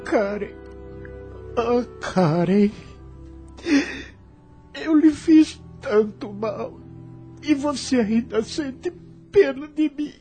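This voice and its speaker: A man's voice: extremely high pitch, animated, drawn-out words, pauses often, dark tone, faint music behind, full character voice. An actor playing a remorseful, broken male vagrant in a theatrical, lamenting Brazilian radio drama style. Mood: tearful anguish. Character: remorseful, broken male vagrant recalling his lost life.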